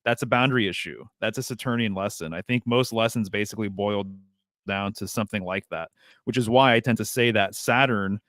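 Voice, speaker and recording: A man's voice: fairly low pitch, fairly quick, a bit bright, no room sound, studio quality. A man who reads tarot, a podcast guest explaining his ideas in a reflective, casual way with filler uhs. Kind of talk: casual conversational podcast chat.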